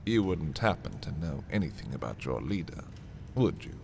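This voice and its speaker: An actor playing a haughty, menacing prince taunting a captive thief in a dark fantasy audio roleplay, theatrical and condescending with dramatic pauses. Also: male voice, very deep voice, very animated, creaky, noisy background, full character voice. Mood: coolly taunting.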